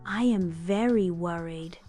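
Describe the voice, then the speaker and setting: A woman's voice: on the low side, takes it slowly, dark tone, soft background music. A woman playing a loving, worried queen mother in a children's bedtime story, anxious and pleading.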